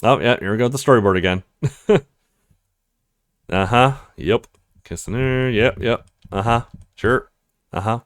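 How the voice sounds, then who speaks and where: A man's voice: mid-range voice, a little slow, pauses often, dry recording. A man playing a visual novel as a let's play host, reacting casually as he goes.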